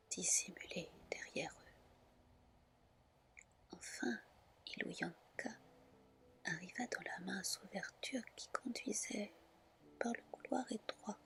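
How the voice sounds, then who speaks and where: A woman reading a tale aloud in a soft, soothing ASMR style, with deliberate phrasing. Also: mid-range voice, creaky. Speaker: storyteller, ASMR reader.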